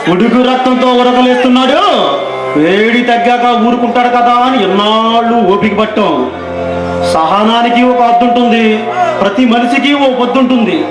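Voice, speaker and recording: An actor playing a politician's ruthless, impatient henchman in a Telugu stage play, with rhetorical declamation. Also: very high voice. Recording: echoey stage recording with music behind.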